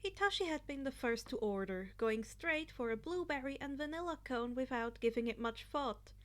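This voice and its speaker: A woman's voice: high-pitched, expressive, normal speed. A woman narrating a piece of fan fiction as a scripted story reading.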